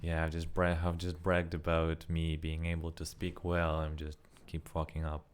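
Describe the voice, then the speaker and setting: Non-native English-speaking young man, deep clear voice, loose casual vlog chat full of fillers and restarts. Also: monotone, moderate pace. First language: not English. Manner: rambling, self-correcting, frequent fillers. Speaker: vlogger. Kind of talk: casual spoken practice vlog.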